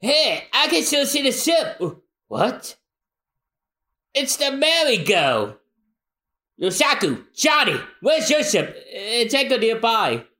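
A man voicing an excitable, loud young pirate sidekick from a comic, yelping with urgency. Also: extremely high pitch, slow, roomy sound, a little hiss or hum, heavily acted. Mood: excited, urgent.

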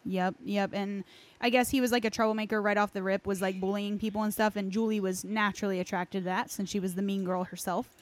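A woman's voice: medium pitch, steady tone, quick pace. A woman hosting a podcast, chatting informally and recounting lore in a conversational way. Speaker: podcast host. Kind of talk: casual chat, recounting game lore.

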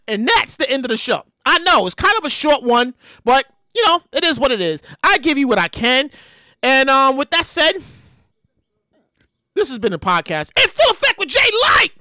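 A podcast host, talking casually and comically in an animated, slangy way with lots of repeated words. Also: high voice, dry recording, clean studio sound. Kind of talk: casual comedic monologue.

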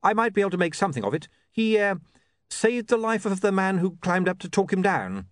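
A man voicing a mild, earnest young man with a polite, halting manner. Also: sits high, big pitch swings, dry recording, pitched above the performer's own voice. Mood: sheepish.

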